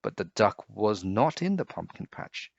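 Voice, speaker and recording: Low-voiced man, very animated storybook reader. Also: measured pace, gravelly, no echo, dull audio. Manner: dramatic, addressing children. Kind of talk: expressive read-aloud storytelling.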